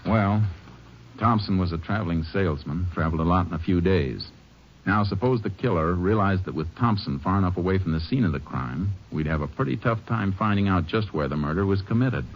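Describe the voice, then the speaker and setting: A male actor with an American accent playing a steady, matter-of-fact Texas Ranger investigator in an old radio drama. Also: low voice, a little hiss or hum. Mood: methodical. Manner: clipped, matter-of-fact, deliberate. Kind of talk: 1950s radio drama acting and narration. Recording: dull vintage radio recording, music behind.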